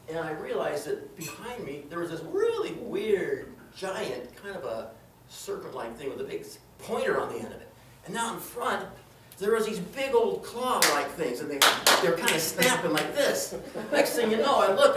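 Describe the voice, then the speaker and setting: A man's voice: high voice, a bit bright. A man telling a comedic tall tale live, in a theatrical, dramatic manner with exclamations and acted-out quotes. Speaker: storyteller in a tall tales contest. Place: indoor room before a live audience. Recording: echoey room, distant mic, noisy.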